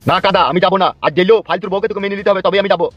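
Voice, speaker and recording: A man's voice: sits high, fairly even intonation, very fast, lightly acted. A man playing a coarse, wheedling two-timing husband in a comic cartoon. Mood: scolding, defiant. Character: bickering, sweet-talking two-timing husband, foul-mouthed. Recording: muffled narrow-band audio over music.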